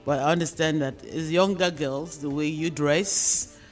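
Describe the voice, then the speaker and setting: A woman with a Nigerian accent hosting a radio discussion programme, phrasing her questions and points deliberately and emphatically. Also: low voice, steady tone, soft background music.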